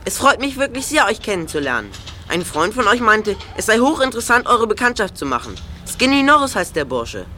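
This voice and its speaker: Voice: mid-range voice, very animated, a little fast, pushed and bright, slight background noise. A performer playing a polite, eager English teenage boy in an audio drama, forthcoming and a little formal. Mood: friendly, curious. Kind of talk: German audio drama acting.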